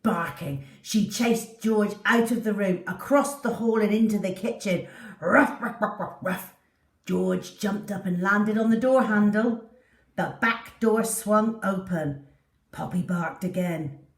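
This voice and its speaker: Unhurried woman storyteller in an echoey room. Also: medium pitch, far from the mic. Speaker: children's storyteller. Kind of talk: animated children's storybook narration.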